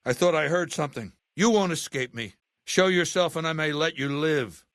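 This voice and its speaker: An actor playing a ruthless, intellectual warlord dictator in a video game, speaking in a commanding, articulate and contemptuous way. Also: male voice, a little high, moderate pace, a bit bright, dry recording, studio quality, close to an everyday voice. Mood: wary, searching. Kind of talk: video game character acting.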